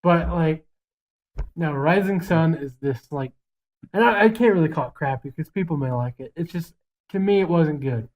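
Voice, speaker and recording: A man's voice: fairly high pitch, expressive, moderate pace, dull audio. A man co-hosting a podcast in casual chat, brash, profane and joking. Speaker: podcast co-host.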